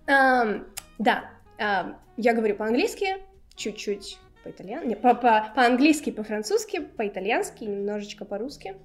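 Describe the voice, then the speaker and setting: High-pitched woman chatting as podcast co-host. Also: expressive, moderate pace, soft background music. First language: Russian. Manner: self-deprecating, joking. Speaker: podcast co-host, English teacher. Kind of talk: casual podcast chat.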